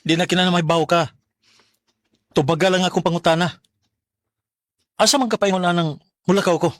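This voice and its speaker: A man's voice: high voice, fairly even intonation, fairly quick, fairly bright tone, dry recording, clean studio sound. An actor playing a young working man, a devoted but suspicious live-in boyfriend, with a Cebuano Filipino accent in a radio drama. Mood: suspicious, annoyed. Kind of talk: Bisaya radio drama acting.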